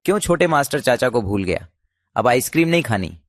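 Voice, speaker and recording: A man's voice: medium pitch, sing-song, fairly quick, no echo, clean studio sound. A man voicing a blunt, headstrong younger brother in a cartoon, an uncle who turns loving. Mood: warm. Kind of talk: cartoon voice acting.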